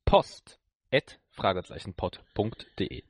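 A man's voice: sits low, big pitch swings, measured pace, slightly rough, dry recording, clean studio sound. A man co-hosting a podcast, chatting casually with his partner. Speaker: podcast co-host. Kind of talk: casual banter with co-host.

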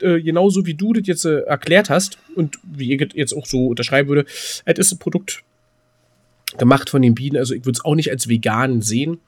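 Male podcast co-host, fairly high-pitched, colloquial and animated, teasing conversational delivery. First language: German. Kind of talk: casual chat.

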